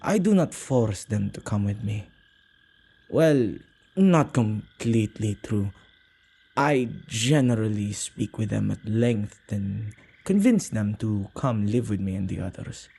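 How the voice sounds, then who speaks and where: Male witch, played by a man for ASMR roleplay: dark, husky, intimate, possessive but soft-hearted, old-fashioned wording. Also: lively intonation, heavily acted. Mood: patient, explaining. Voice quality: low, husky, creaky voice. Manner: halting, gentle, slightly archaic phrasing.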